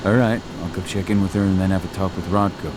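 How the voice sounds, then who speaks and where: A man's voice: sits low, steady tone, fast, close to an everyday voice. An actor playing a weary, remorseful middle-aged warrior father returned from exile, in a video game cutscene. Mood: businesslike. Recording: loud ambient noise behind the voice.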